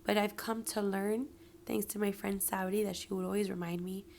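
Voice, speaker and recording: Raspy hoarse woman doing a heartfelt solo talk, kinda gravelly, whispers stuff for emphasis, little bit of hiss. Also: medium pitch, steady tone, moderate pace, a little mellow. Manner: earnest, motivational, slips into whispers for emphasis. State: hoarse from yelling. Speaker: podcast host. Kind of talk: personal reflective monologue.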